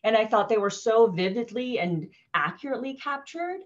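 A woman's voice: fairly high pitch, lively intonation, normal speed. A woman author in her thirties chatting casually about her picture book over a video call, thoughtful, with many fillers. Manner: warm, thoughtful, many ums and you-knows. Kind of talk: casual live book talk. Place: living room with fireplace. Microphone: laptop webcam video call. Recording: dull lo-fi video call audio.

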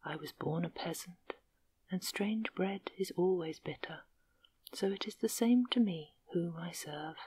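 Humble peasant-raised prince, young and earnest, whispered slow and low by a woman narrator. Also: mellow, slightly rough, no echo, low-quality recording.

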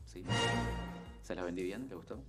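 A man's voice: sits low, normal speed, over music. A man, not a native Spanish speaker, telling a football story as a sports columnist on a studio radio show. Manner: storytelling, many fillers and tag questions. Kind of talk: casual radio chat. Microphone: studio mic on boom arm, headphones.

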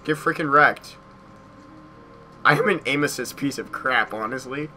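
A young American man giving casual gameplay commentary in an excitable manner, repeating short phrases. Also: medium pitch, a little slow, a little hiss or hum. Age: young adult. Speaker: gaming YouTuber. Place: small bedroom at home.

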